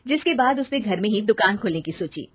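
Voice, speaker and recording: A woman's voice: medium pitch, expressive, brisk, breathy edge, no room sound, narrow, tinny audio. A woman reading scripted narration for a story.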